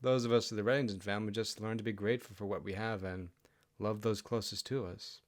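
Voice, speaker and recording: A man's voice: fairly low pitch, steady tone, fast, natural voice. Man voicing a humble, earnest fox-folk peasant. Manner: plainspoken, earnest. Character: humble, grateful kitsune peasant husband and father.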